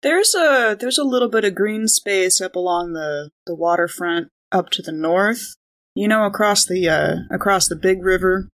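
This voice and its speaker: A woman's voice: medium pitch, animated, moderate pace. Playful woman bantering at a tabletop game. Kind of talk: casual chat and in-game narration.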